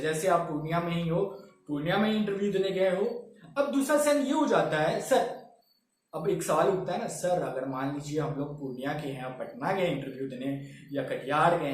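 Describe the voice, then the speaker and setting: High-voiced teacher in echoey room. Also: male voice. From Bihar, India. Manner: mixes Hindi and English, asks "samajh mein?". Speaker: English teacher. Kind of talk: classroom lesson.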